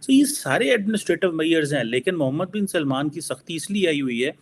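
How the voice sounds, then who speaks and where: A man's voice: fairly high pitch, animated, fairly quick. Pakistani man giving informal political commentary. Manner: opinionated, explanatory, mixes in English phrases.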